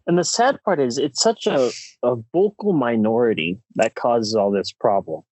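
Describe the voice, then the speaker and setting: A man's voice: medium pitch, lively intonation, moderate pace, darkish tone, no room sound. A man co-hosting a casual film discussion podcast, speaking in an opinionated, emphatic way. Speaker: podcast co-host.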